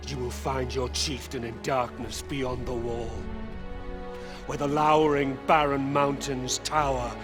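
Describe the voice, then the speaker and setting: Man acting desperate captive elder from a northern tribe, slow dramatic speech, intense, music blaring behind. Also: medium pitch, flat delivery, close to an everyday voice. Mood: ominous warning. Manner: pleading, intense, ominous. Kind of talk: video game acting.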